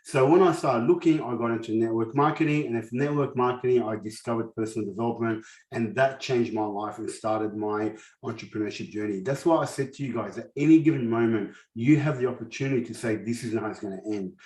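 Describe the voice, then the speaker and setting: A man's voice: medium pitch, fairly quick, a little mellow, reverberant, rough recording. A man in his forties with a lisp, whose first language is not English, giving a personal, earnest motivational presentation at a summit. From grew up in a war-torn country, raised in Australia. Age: 40s. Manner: earnest, personal storytelling with frequent restarts. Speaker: summit speaker.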